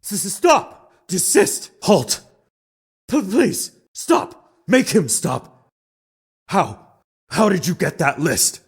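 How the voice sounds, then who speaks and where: A man's voice: sits high, sing-song, husky, full character voice. A man acting a pompous businessman witness who easily cracks into panic, in an exaggerated video game drama style. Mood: panicked. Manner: slow, theatrical, stammering under pressure.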